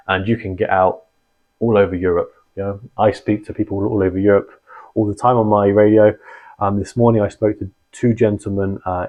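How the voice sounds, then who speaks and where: Rambling man with a lowish voice. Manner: rambling, full of ums and restarts. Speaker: host explaining radio gear. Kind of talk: casual explanatory vlog talk. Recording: dull lo-fi sound.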